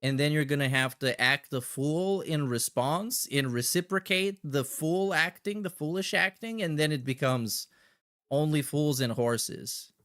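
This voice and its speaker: A man's voice: on the high side, unhurried, fairly bright tone, no room sound, clean studio sound. A man hosting a livestream with casual, slangy, sweary commentary and a sarcastic edge. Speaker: livestream host. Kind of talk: casual chat with shout-outs and commentary.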